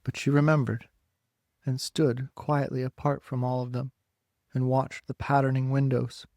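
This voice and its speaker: A man narrating a literary story dramatically, in deliberate, separate phrases. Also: medium pitch, level tone, darkish tone, dry recording, studio quality. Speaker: audiobook narrator.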